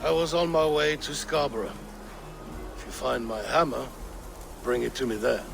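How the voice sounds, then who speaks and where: An actor playing a weary, ailing, grieving Viking king in a video game, proud and brooding, with a gravelly voice and halting, solemn delivery. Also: medium pitch, over music, lightly acted. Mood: weary, confused. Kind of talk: dramatic game cutscene acting. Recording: game ambience noise behind the voice.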